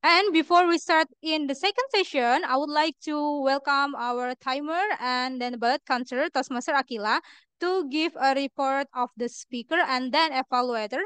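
Young woman, Indonesian accent, high voice, dry close sound, meeting host. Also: moderate pace, fairly bright tone, no room sound. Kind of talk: hosting a club meeting.

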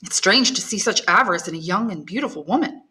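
A woman's voice: medium pitch, lively intonation, fast talker, pushed and bright, low-quality recording. A woman reading a devoted young suitor. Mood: earnest, devoted. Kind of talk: audiobook character voice.

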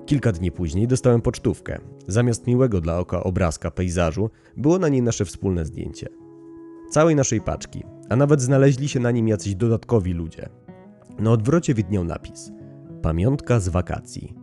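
Casting: male horror podcast narrator, very low pitch, dark mellow tone, music underneath. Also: expressive, normal speed. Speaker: podcast host reading horror stories. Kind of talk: dramatic story narration with casual commentary.

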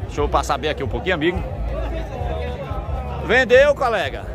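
A man talks fast and high as he walks an outdoor livestock market with a handheld mic. He chats with traders and keeps greeting people, upbeat and repetitive. A crowd chatters loudly all around him. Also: big pitch swings, noisy background. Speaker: market reporter and video host. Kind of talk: on-the-spot interviews while walking.

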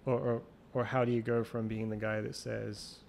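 Gravelly low-voiced man, flat delivery. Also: moderate pace. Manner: rambling, restarts often, thinks aloud. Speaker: guest, champion water skier. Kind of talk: casual interview conversation. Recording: remote call recording with faint noise.

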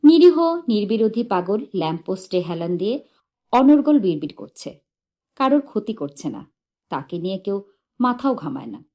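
A woman narrating a humorous short story in an expressive, measured and dramatic storytelling manner. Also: medium pitch. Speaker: audiobook narrator.

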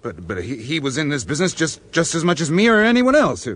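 An actor playing a cornered American crime boss and proud gambler in a radio crime drama, halting and stammering under pressure. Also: male voice, a little high, huge pitch swings, a bit bright, heavily acted. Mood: agitated, desperate.

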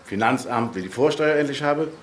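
An actor in a radio play voicing a chatty, resigned old-fashioned mailbox in a rural German dialect, folksy and rambling. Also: male voice, mid-range voice, lively intonation, a little fast, lightly acted. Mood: teasing.

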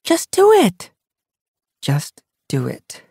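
A man's voice: extremely high pitch, big pitch swings, moderate pace, darkish tone, no room sound, clean studio sound, pitched above the performer's own voice, heavily acted. A man voicing an eager young female apprentice cat who urges and coaxes her friend. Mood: urgent. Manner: urgent, pushy.

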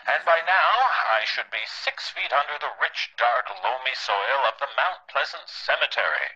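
An actor playing a mocking, theatrical dead father leaving a slow, gloating taped message in a horror audio drama. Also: mid-range voice, bright, forceful tone, exaggerated voice. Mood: mock tender. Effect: old tape recorder filter. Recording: muffled lo-fi tape recorder playback.

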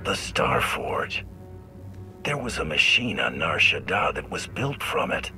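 Very deep, gruff bounty hunter. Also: male voice, sing-song, measured pace, bright, forceful tone, faint music behind, heavily acted. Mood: resolute. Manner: terse, dry, cocky. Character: gruff, terse mercenary male bounty hunter. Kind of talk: video game character acting.

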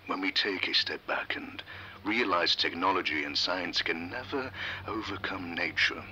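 A man delivering a dramatic scripted monologue in solemn, halting short phrases. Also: low-pitched, sharp tone, slight background noise.

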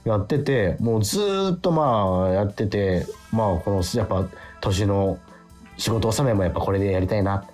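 A man hosting a radio show, chatting casually and reading listener letters aloud in a halting, repetitive way. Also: fairly low pitch, expressive, a little mellow. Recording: music playing behind. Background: background music.

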